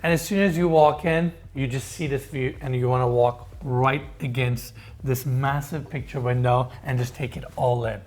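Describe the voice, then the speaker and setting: A man from Turkey with a Turkish accent guides viewers through a large house. His pitch sits in the middle, and his delivery is eager and showcasing. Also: fairly even intonation, normal speed, a little mellow. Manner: enthusiastic, showcasing. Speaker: host. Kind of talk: home tour presentation.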